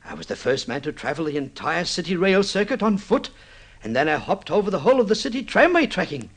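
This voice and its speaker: An actor playing an excitable, obsessive newlywed man in an old-time radio drama, halting and stammering as he tells his story. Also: sits high, exaggerated voice. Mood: proud, excited. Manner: halting, stammering repeats, swinging into boastful excitement.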